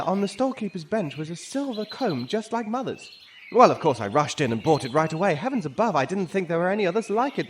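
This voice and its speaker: A man playing an anxious, melodramatic rich gentleman in an audio drama, with theatrical exclamations and old-fashioned phrasing. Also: high-pitched, slight background noise.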